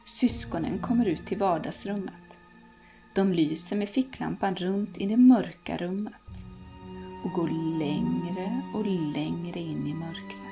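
A woman's voice: fairly low pitch, gravelly. A young woman reading a ghost story aloud to children in a cozy living room, dropping to whispers at the tense moments. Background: soft background music.

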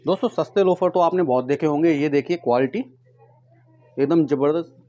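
A man casually pitching products to camera, often addressing viewers as friends and leaning on repeated verbal tics. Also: fairly quick, a little mellow, dull audio. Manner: repetitive filler phrases, addresses viewers as friends. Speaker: vlogger and shoe seller. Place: tiled room at home with stock boxes, indoors. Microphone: clip-on lapel mic. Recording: noticeable background noise, clicks.

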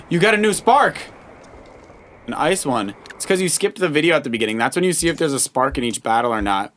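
A man's voice: a little high, animated, a little fast, a bit bright. A man giving casual, playful commentary as a co-host while playing a video game, sometimes slipping into sing-song asides. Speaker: let's play co-host, father playing with his child. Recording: game music behind the voice. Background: video game music.

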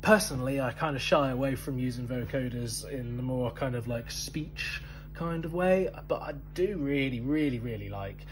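A man's voice: medium pitch, normal speed, music in the background. A British man in his thirties presenting an explainer to camera in a conversational, enthusiastic way. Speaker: museum video host. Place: indoor museum room full of synthesizers.

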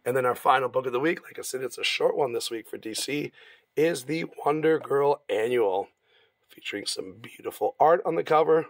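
A man with a raspy, hoarse voice from laryngitis, casually walking through the week's new comics in a comic shop. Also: medium pitch, a little fast, no room sound. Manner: informal, easygoing, stumbles now and then. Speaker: comic shop staff presenting new releases. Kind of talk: casual product walkthrough.

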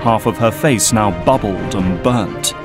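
Man narrating over clear music. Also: fairly low pitch, a little slow. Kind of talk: scripted dramatic narration.

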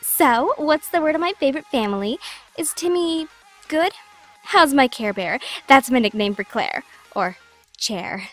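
A bossy, bubbly girl in an audio drama acted by a woman, very high in pitch with faint music behind. She gushes and tosses out flippant remarks. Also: expressive, unhurried, a bit bright. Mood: breezy, teasing. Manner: flippant, gushing. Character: brash, bubbly, bossy teenage girl mentor.

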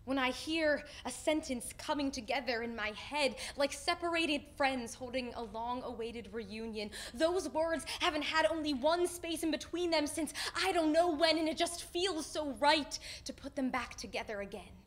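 High-voiced young woman reciting poetry. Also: moderate pace, few pauses. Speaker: poetry slam performer. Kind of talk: dramatic spoken-word poetry recital. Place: indoor stage in front of a curtain.

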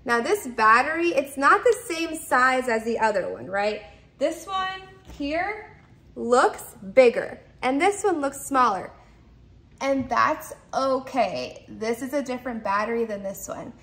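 A woman in her thirties talks through a product demonstration in a casual, instructional way. Her voice sits fairly high and often falls into vocal fry. She explains each step simply and tends to repeat herself. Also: animated, unhurried, a bit bright. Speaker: product reviewer. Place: indoors, open kitchen and dining room at home.

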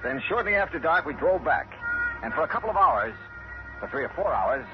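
An actor playing a shrewd, brisk male insurance investigator who narrates his case in 1950s radio drama style. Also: fairly high pitch, sing-song, music in the background. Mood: narrating, sly. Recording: noisy, muffled old radio recording.

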